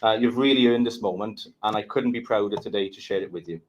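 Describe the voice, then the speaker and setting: Man, low-pitched, ceremony host, upbeat congratulatory opening address. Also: fast talker, highs cut off, a little hiss or hum. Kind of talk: opening speech at a graduation ceremony. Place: event venue.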